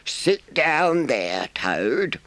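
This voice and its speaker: Pompous storybook badger, drawn-out, pitched way up. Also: male voice, big pitch swings, a bit bright, no echo, full character voice. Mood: solemn, gratified. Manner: pompous, slow, emphatic speechifying. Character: solemn, kindly but stern elderly male badger, oratorical.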